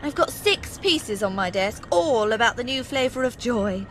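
A high, animated British editor woman. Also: moderate pace, faint music behind. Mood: exasperated. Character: exasperated, gossipy, brisk woman newspaper editor. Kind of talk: video game acting.

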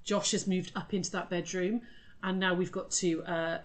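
A woman, snuffly with a cold, chatting brightly to viewers during a live sewing tutorial from her home studio webcam. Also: fairly low pitch, steady tone, moderate pace. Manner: bubbly, greets viewers by name, laughs often. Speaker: craft shop owner hosting a live sewing tutorial. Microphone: webcam at desk.

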